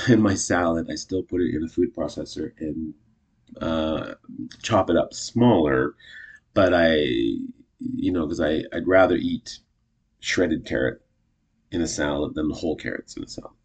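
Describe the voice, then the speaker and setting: A man's voice: extremely low pitch, measured pace, pauses often, husky. A man in his fifties talking casually to camera, rambling and correcting himself often in an upbeat way. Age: 50s. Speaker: vlogger.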